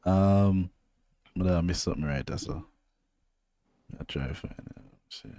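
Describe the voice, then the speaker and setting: A young Jamaican man talks football in a loose, easygoing patois, drifting from one point to the next. His voice sits low and mellow, recorded dry and close with no room around it. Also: unhurried, no room sound. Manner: laid-back, rambling, loose patois phrasing. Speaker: podcast co-host. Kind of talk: casual football chat.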